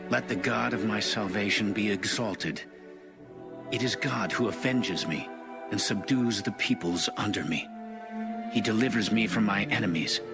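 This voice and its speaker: A man reading scripture as a scripted narration, in a measured and solemn manner. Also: fairly low pitch. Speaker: audio Bible narrator. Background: music.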